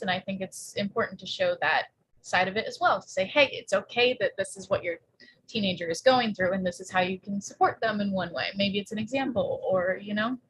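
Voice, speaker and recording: A woman's voice: medium pitch, a bit bright, breathy edge, rough recording. A woman in a casual discussion, explaining her point in an earnest, supportive way.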